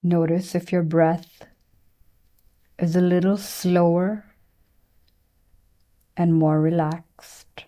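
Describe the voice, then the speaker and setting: A woman leads a guided meditation in a soft, very mellow tone that sits a little low. She speaks very slowly and gently, leaving room between phrases as she gives soothing instructions. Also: level tone. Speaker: meditation and yoga teacher.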